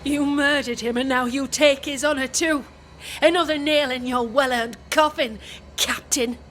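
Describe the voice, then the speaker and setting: Fierce widow for a video game: high voice, raw grief, accusing and loyal. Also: female voice, fairly even intonation, normal speed, fairly bright tone, slight background noise. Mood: bitter accusing. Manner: emotional, intense. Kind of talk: dramatic video game acting.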